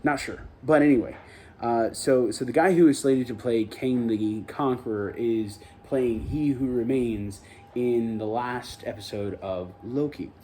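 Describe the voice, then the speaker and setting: A man talks through an episode recap on his own review show, in a relaxed, unscripted way. His voice is mellow and warm, sitting at an ordinary pitch for a man. He wanders a little and backs up to fix his wording as he goes. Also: a little slow, slight background noise. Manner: rambling, loose, self-correcting delivery. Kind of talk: casual spoken recap and review.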